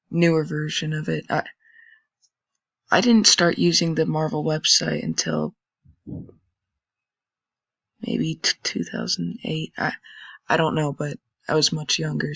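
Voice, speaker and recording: A young man with a raspy voice, casually commenting and thinking aloud while browsing old web pages on a screen recording. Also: fairly high pitch, a bit bright, dry recording. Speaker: channel host. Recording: dull lo-fi dry recording.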